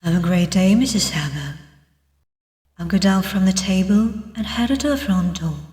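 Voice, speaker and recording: A woman's voice: medium pitch, moderate pace, a little mellow. A woman from Russia, a native Russian speaker, reading a visual novel aloud with dramatic narration and voice acting. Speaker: let's player reading the game aloud. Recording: echoey, long reverb, distant sound.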